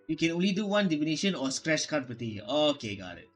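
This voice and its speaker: Higher-pitched man reading game text haltingly. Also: big pitch swings, faint music behind. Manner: halting, stumbles over words when reading. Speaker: gaming streamer. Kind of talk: reading game dialogue aloud during a playthrough.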